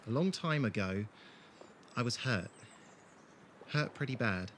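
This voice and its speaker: Bright male voice, man acting earnest awkward war-scarred traveller, hesitant, audio drama, faint outdoor ambience behind. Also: medium pitch, lively intonation, measured pace, slight background noise. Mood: haunted. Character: gentle, awkward, war-scarred male wanderer with strange powers.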